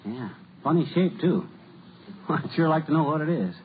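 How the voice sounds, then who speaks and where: An actor playing a folksy, excitable, grumbling husband in an old radio comedy, full of homespun exclamations, on a noisy, muffled recording. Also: male voice, fairly high pitch, normal speed, natural voice. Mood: curious, eager. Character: folksy, excitable married man, grumbling and curious. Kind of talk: 1940s-50s radio comedy acting.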